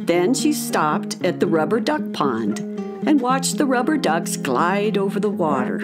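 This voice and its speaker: A woman narrating a scripted children's story in an expressive, carefully phrased storytelling manner. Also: mid-range voice, measured pace, over loud music.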